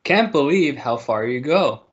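A man's voice: mid-range voice, lively intonation, a little slow. A man reading a children's picture book aloud over a video call. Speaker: storybook reader. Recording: muffled, lo-fi video call audio.

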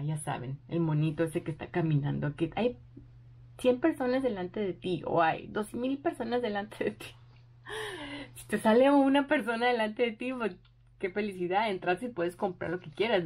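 A young woman talks through her day in a casual, chatty vlog, thinking aloud as she goes. Her excitement runs high and her voice sits at an ordinary middle pitch. She sounds eager and a little keyed up. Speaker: vlogger.

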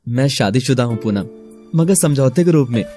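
A man voicing an earnest, hesitant young male college student with an Indian accent in an animated story. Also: medium pitch, normal speed, faint music behind, lightly acted. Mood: hesitant, confessing.

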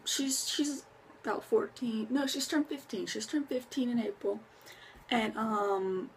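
A woman's voice: on the high side, a little hiss or hum. A young woman vlogging to a phone held at arm's length in her bedroom, chatty, with many ums and restarts. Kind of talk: casual vlog talk to camera. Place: bedroom at home, indoors.

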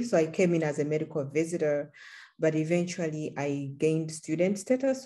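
Middle-aged woman from Uganda, panelist; low, mellow, earnest, measured delivery. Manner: earnest, measured, with small restarts. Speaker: panelist, support group leader. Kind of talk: panel introduction on a video call. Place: room at home. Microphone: laptop camera on a video call.

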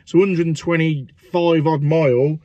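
A man's voice: a little high, steady tone, unhurried, mellow, muffled recording. A man, a plumber vlogging frankly about a stressful job, swearing and rambling a little. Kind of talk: casual vlog with job commentary. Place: parked van, then a small bathroom.

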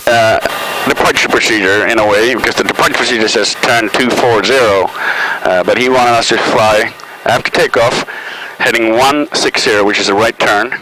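A middle-aged man narrating and reading back as a pilot, in terse procedural phrases, on a headset in a small plane cockpit. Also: on the low side. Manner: terse, procedural callouts with pauses. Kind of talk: cockpit commentary and radio readbacks. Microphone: aviation headset. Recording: loud aircraft cabin noise, headset intercom.

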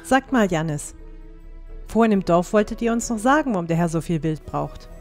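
A woman's voice: lowish voice, expressive, normal speed, faint music behind. A woman playing a curious, direct young traveller in a fantasy audio drama. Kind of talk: German fan audio drama acting.

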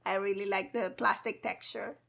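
A woman's voice: medium pitch, steady tone, normal speed, creaky, a bit bright. A woman streamer giving casual, playful gameplay commentary in a cheeky, hyper, giggly manner. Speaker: gaming streamer, virtual avatar host.

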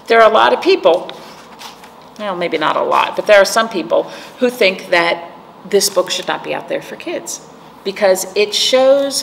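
An American woman in her forties with a creaky voice, a storytime host explaining things to young children in simple, teacherly words and questions. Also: on the low side. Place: indoor room, seated. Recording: echoey room with background noise.

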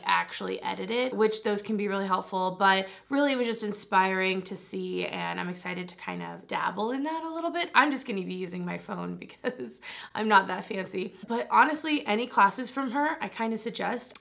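A young woman with a raspy voice chatting to camera about beauty products in a bubbly, rambling way, laughing often. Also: medium pitch, a bit bright. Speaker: beauty vlogger. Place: small decorated room indoors.

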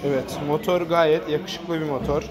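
Man, monotone, matter-of-fact, phone-filmed showroom walkaround, crowd chatter behind. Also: on the high side, a little slow. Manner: plain, matter-of-fact pointing out of details. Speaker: motorcycle reviewer. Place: indoor motorcycle showroom. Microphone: handheld phone camera.

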